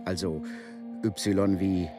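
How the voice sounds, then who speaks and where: An actor playing a matter-of-fact explainer in a literary radio play. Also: male voice, sits low, steady tone, extremely slow, music in the background.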